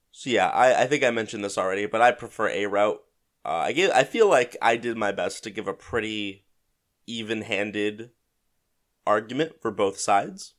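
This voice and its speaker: Lowish guy voice, bit congested from a cold, casual game podcast host just thinking out loud and hedging. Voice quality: slightly congested, stuffy. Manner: hedging, conversational, thinking aloud. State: mild head cold. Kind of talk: casual solo podcast commentary on a video game.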